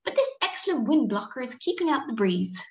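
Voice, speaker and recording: A woman's voice: high voice, big pitch swings, fairly quick. An Irish woman voicing a polite, chatty, helpful storm petrel in a children's storybook. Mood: content. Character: content storm petrel seabird, surprised, helpful. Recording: muffled, echoey room recording.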